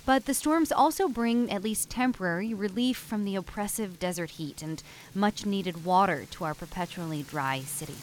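A woman radio reporter narrating a news feature in a measured, reflective way. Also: mid-range voice, a little hiss or hum.

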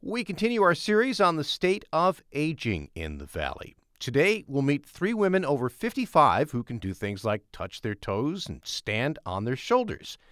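A man introducing a public radio feature with a very animated, swinging delivery. The studio sound is dry and close. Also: on the high side, moderate pace, hardly any pauses, no room sound. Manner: lively, clear delivery. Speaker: radio host. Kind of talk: scripted news intro.

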